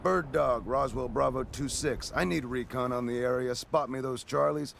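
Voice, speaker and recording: A man's voice: mid-range voice, moderate pace, vocal fry, fairly bright tone, a little hiss or hum. A man playing an American army commander making clipped, commanding military radio calls in a war game. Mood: businesslike, commanding.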